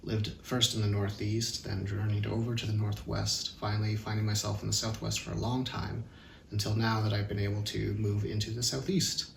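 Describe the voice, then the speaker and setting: Male, from Calgary, gravelly monotone, roomy far-off sound with faint noise. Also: fairly low pitch, moderate pace. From Calgary, Canada. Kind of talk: documentary interview. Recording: echoey, distant mic, faint noise.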